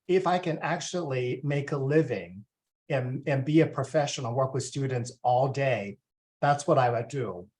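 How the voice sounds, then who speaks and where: A man in his forties from Taiwan, a university student affairs vice president, talking to families in a relaxed way with many ums and uhs. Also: medium pitch. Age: 40s. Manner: conversational with frequent ums and uhs. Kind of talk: presenting to families at a welcome session.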